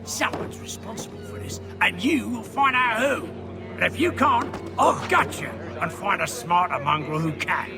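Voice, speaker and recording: A furious British villain from a video game. His voice is pitched very high and crackles with creak, swinging widely as he threatens and sneers. Music plays behind him. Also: lively intonation, normal speed. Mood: furious, threatening. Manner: threatening, contemptuous, sputtering outbursts. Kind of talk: video game cutscene acting.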